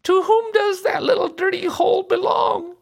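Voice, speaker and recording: Spoiled, spiteful storybook princess, very high-pitched, theatrical, given to mocking and whining. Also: steady tone, normal speed, vocal fry. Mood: disdainful. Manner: exaggerated, theatrical exclamations.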